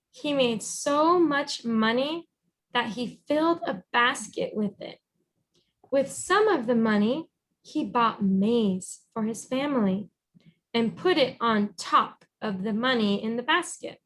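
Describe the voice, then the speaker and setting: A woman reading a storybook aloud as a teacher, encouraging and pausing to guide with questions. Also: a little high, rough recording. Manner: encouraging, instructive.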